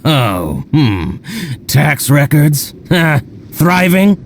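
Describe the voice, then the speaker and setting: An actor playing a scheming, resentful shopkeeper in a video game, with a theatrical, exclamatory delivery. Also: male voice, on the high side, big pitch swings, a little hiss or hum, full character voice. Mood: bitter, suspicious. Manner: theatrical, exclamatory, drawn-out words. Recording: background music.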